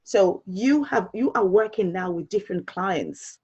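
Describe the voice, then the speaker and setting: A woman's voice: medium pitch, animated, measured pace, a little mellow, slightly rough, muffled recording. A woman hosting an online panel, asking questions conversationally with many fillers and polite interjections. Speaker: host and moderator.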